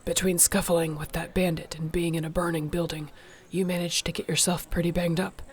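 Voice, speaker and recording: A woman playing a protective, tender orc warrior girlfriend in an intimate audio roleplay, speaking in a soft, earnest way. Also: fairly low pitch, little pitch movement, fairly quick, lightly acted. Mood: gentle, reassuring. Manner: soft, earnest, soothing, partly whispered.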